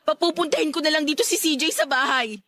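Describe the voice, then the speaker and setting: A lovesick young Filipino daughter in a radio drama. She pleads in a very high, bright, whiny voice, defiant and emotional. The recording is dry. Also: quick pace, no room sound. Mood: pleading. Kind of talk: Tagalog radio drama acting.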